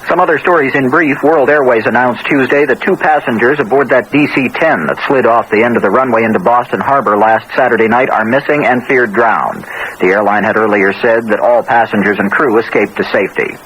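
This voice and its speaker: A man's voice: mid-range voice, fairly quick, a bit bright, poor audio. American radio newsman, faint hiss. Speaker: radio news anchor. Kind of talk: scripted news reading.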